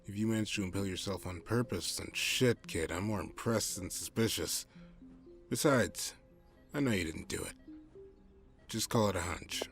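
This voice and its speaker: Casting: male actor as low-voiced hard-nosed detective, cocky and blunt, for an audio drama with light music underneath. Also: normal speed, close to an everyday voice. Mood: gruffly reassuring. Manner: blunt, swearing, condescending. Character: gruff cynical male detective, cocky and determined.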